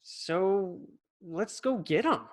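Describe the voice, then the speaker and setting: A man's voice: high voice, takes it slowly. A man reading an eager kid sidekick hopeful. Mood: whiny. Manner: eager, excitable kid delivery. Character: eager young boy wanting to learn kung fu. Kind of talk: comedic table read.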